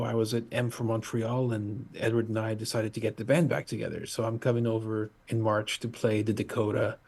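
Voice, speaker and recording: A middle-aged man from Portugal, a musician guest talking in a halting, casual way full of fillers and restarts over a video call. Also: on the low side, creaky, darkish tone, husky. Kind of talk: casual interview chat.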